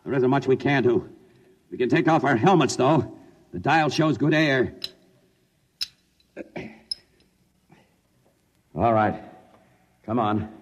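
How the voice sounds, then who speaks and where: An actor playing a terse, commanding general on a tense undersea mission, in 1950s radio drama style. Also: male voice, mid-range voice, lively intonation, moderate pace, breathy edge, muffled recording. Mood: wary. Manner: terse, urgent, giving orders. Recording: noisy old radio broadcast.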